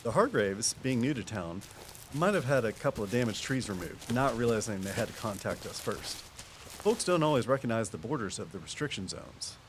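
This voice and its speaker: Folksy actor as a small-town conservation man, medium-pitched. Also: big pitch swings, moderate pace, slight background noise, natural voice. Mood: measured, puzzled. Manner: folksy, patient, explanatory. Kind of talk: audio drama dialogue.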